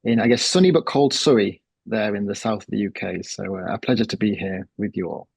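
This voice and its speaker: A man from Manchester introducing himself on a business webinar panel, relaxed with many fillers. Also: fairly low pitch, fairly quick, gravelly, slightly rough, no room sound. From Manchester, England. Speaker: panelist, services director.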